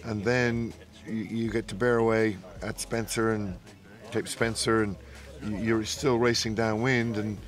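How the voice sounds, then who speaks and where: A man's voice: on the low side, little pitch movement, unhurried, hardly any pauses. A man, a yacht sailor describing a race in an easygoing, rambling way, outdoors at night. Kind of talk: post-race interview.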